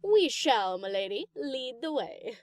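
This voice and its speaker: A woman plays a cheeky, easygoing young mare DJ from a cartoon-style audio story. Her voice sits high and moves around freely in pitch, with slangy, playful phrasing. The sound is close and dry. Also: very animated, unhurried, dry recording. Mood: mock gallant. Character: laid-back, teasing young female DJ pony. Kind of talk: acted audio story dialogue.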